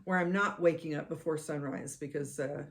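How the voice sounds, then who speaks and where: A middle-aged woman co-hosting a podcast in casual chat, with a soothing, measured way of talking. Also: deep voice, fairly even intonation. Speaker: podcast co-host.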